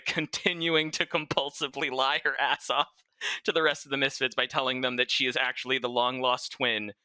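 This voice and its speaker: A man with a very bright, sharp voice of medium pitch talks casually as a podcast co-host. He recaps and chats in a dry, close recording. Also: smooth, dry recording.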